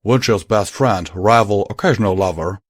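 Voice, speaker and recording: A man's voice: on the low side, normal speed, no room sound, studio quality, close to an everyday voice. A man doing a blunt young pegasus gunslinger. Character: young male pegasus sharpshooter, gruff and blunt.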